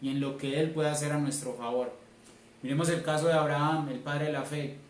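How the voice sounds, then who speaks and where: A man's voice: fairly high pitch, steady tone, fairly quick. A Colombian man, a church elder, preaching a sermon in an emphatic way, repeating key phrases. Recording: roomy, distant mic, faint noise.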